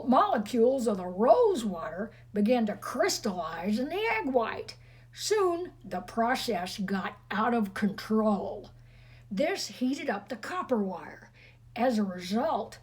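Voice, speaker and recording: A woman's voice: fairly high pitch, sing-song, slow pace, darkish tone, breathy edge. A woman reading a storybook aloud expressively to children, with chatty, teasing asides to her listeners.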